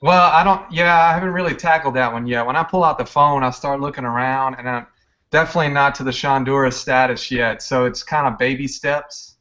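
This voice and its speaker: A man's voice: medium pitch, fairly even intonation, a little fast, pushed and bright. A man guest on a live video chat, modest and easygoing in his answers. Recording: dull lo-fi video call audio.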